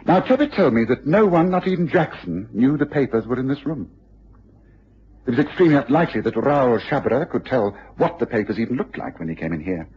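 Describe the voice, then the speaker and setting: Sharp detective in a radio play, really varied pitch, composed and commanding, bit husky, dull old broadcast sound. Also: male voice, very animated. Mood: assured, deductive. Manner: deliberate, commanding, precise. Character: keen, composed male English detective, probing and authoritative. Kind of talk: radio drama acting.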